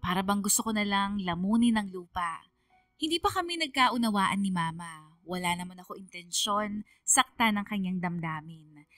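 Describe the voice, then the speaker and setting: A sorrowful young Filipina narrator, voiced by a woman, telling her story in a radio drama. The voice is bright and clear-edged, earnest and confessional, very dry with faint music behind. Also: medium pitch, dry recording, close to an everyday voice. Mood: guilty, wistful. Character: sorrowful young female letter writer recounting family troubles. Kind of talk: scripted first-person radio drama narration.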